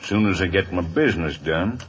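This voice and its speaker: A man playing a shrewd, unscrupulous frontier gun trader in a 1950s radio western, husky and smugly knowing. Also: low voice, lively intonation, moderate pace, heavily acted.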